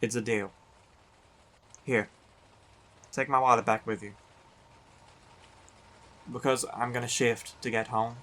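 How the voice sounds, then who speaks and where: A man playing a big, teasing, affectionate biker werewolf boyfriend in an intimate roleplay audio, talking in an unhurried, colloquial way. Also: mid-range voice, fairly even intonation, fairly bright tone. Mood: affectionate, light.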